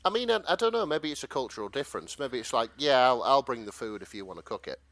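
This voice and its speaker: Male British podcast co-host, mid-range pitch, hesitant rambling delivery, dry recording. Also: lively intonation, quick pace, fairly bright tone, no echo. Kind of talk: casual chat, retelling fanfiction.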